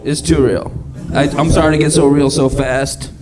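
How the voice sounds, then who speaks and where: A man's voice: fairly high pitch, steady tone, moderate pace, music in the background. A man doing a stand-up set on a comedy club stage. He is awkward and self-mocking, teases the audience and swears a lot. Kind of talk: stand-up set with crowd work. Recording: live club set with loud crowd. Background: audience laughter.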